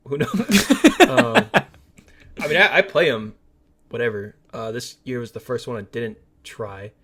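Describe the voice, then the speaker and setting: A man's voice: mid-range voice, sing-song, unhurried, a bit bright, slightly rough. A man co-hosting a casual movie and game podcast, opinionated and emphatic, repeating words for stress. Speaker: podcast co-host.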